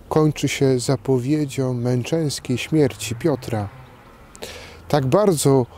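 Faint outdoor noise sits behind a man preaching as a priest. His voice is soft-edged and mellow, pitched a little high for a man. He takes his time, setting out each phrase deliberately with pauses. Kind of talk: short scripted homily to camera. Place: outdoors in a park.

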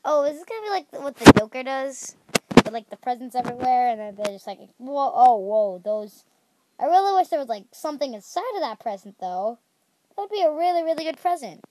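A woman's voice: sits high, fairly quick, a little hiss or hum, poor audio. Chatty, stuffy-nosed young woman gamer. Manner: chatty, excitable, squeals at danger. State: stuffy nose. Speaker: gaming channel host. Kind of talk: casual game commentary.

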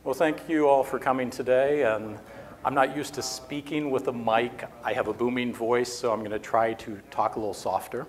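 A man's voice: medium pitch, fairly even intonation, moderate pace. A man in his fifties giving a technical talk at a conference, speaking conversationally with many fillers, from beside a podium mic in a conference room. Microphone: podium mic, standing beside lectern.

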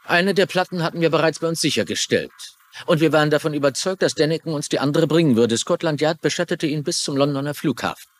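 A man's voice: fairly high pitch, quick pace, natural voice. A man playing a grave government agent, explaining a secret case urgently in a dubbed crime drama.

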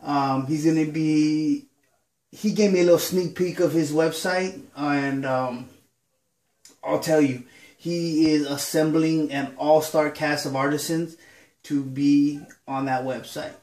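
A man's voice: on the high side, slow. Congested man chatting in an echoey bathroom. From Southern California. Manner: relaxed, rambling with many ums. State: congested, stuffy nose. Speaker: shaving vlogger. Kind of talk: casual chat to camera. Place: small home bathroom. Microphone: camera on a counter facing a mirror.

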